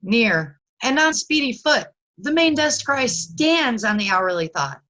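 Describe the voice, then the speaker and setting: A woman playing a courteous gentleman messenger in readers' theatre Shakespeare, with formal, declarative delivery. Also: on the high side, big pitch swings, a little slow, dull audio, exaggerated voice.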